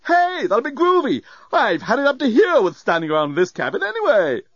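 A man's voice: very high voice, huge pitch swings, brisk, a bit bright, dry recording, highs cut off, pitched above the performer's own voice, exaggerated voice. A man voicing a breezy, cheeky, over-familiar robot bellboy with exclamatory hipster delivery in an audiobook story. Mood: breezy, excited.

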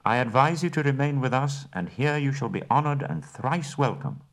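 A man's voice: mid-range voice, expressive, normal speed, gravelly, pitched above the performer's own voice. Stately elf king, formal and lilting, voiced by a man. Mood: gracious. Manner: formal, courtly.

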